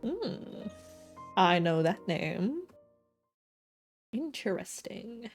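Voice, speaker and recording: A woman's voice: mid-range voice, very animated, extremely slow, vocal fry, faint music behind. A woman livestreamer giving casual, joking, slangy reactions as she plays a game. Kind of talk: casual game playthrough commentary.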